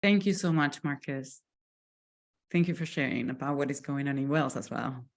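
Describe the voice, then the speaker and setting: A woman's voice: very deep voice, normal speed. A woman hosting an online meeting on a video call, speaking with some hesitant fillers. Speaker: meeting host.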